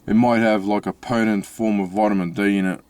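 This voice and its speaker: An Australian man with a slightly mixed accent from his Italian family, talking casually from inside his car with many pauses and restarts. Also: fairly low pitch, fairly even intonation, darkish tone, clean tone, no echo. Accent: Australian with Italian-family influence. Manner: halting, many fillers and restarts. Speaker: vlogger. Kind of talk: casual personal vlog.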